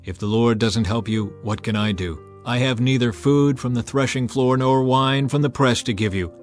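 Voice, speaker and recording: A man's voice: mid-range voice, normal speed, faint music behind, close to an everyday voice. A man reading as an anguished king. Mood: weary. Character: anguished, furious king of a besieged city.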